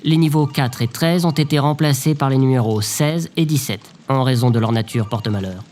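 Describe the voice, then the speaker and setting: A man playing a glum, officious government bureaucrat in a comic audio drama, deadpan and pedantic. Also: medium pitch, fairly quick, lightly acted. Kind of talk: audio drama comedy acting. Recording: faint background noise.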